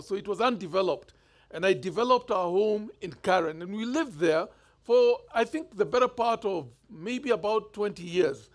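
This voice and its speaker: Kenyan male bishop, 50s, high voice, chatty storytelling sermon. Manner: conversational storytelling, gestures freely. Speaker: bishop preaching. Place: church stage indoors.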